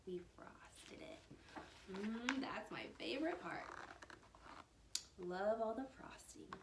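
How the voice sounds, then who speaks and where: A woman reading a children's storybook aloud like a teacher, animated and dramatic, with hushed asides to her young listeners. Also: medium pitch, slow. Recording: roomy echo with faint background noise.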